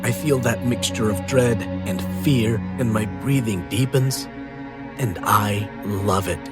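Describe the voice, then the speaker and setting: A man delivering a scripted horror podcast promo as its host, deliberate, inviting and dramatic. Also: on the low side. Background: music.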